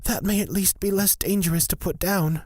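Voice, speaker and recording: A woman playing a pensive male witch-cleric in an audio drama, thinking aloud in a deliberate, dryly wry way. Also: lowish voice, fairly even intonation, darkish tone, close to an everyday voice.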